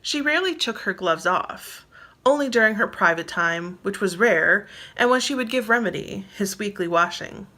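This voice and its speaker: A woman's voice: medium pitch, lively intonation, moderate pace, bright, forceful tone. A woman reading her own novel aloud as narrator, in scripted storybook style.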